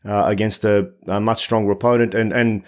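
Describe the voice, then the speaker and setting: A man hosting a podcast interview in a fairly low voice. He builds his questions slowly, pausing on filler sounds and restarting phrases. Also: flat delivery. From Bosnia. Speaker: podcast host.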